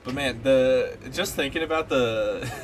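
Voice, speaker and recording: A man's voice: mid-range voice, a little slow, a little hiss or hum. Rambling, sweary young streamer guy. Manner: rambling and sweary, lots of fillers. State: stuffed up. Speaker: gaming streamer. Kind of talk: casual chat while playing a game.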